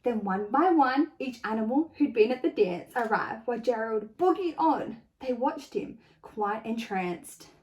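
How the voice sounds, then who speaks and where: A woman teacher doing a storytelling read-aloud for young children, expressive and clearly phrased. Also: on the high side, measured pace, few pauses, rough recording. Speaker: teacher reading a picture book to children.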